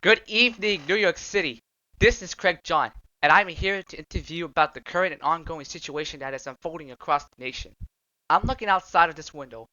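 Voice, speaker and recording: Guy doing a freaked out news reporter for an audio drama, kinda sharp voice, stumbles and repeats, muffled dead-dry sound. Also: sits high, no echo. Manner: agitated, repetitive, halting. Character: panicked male TV news reporter covering a vampire outbreak.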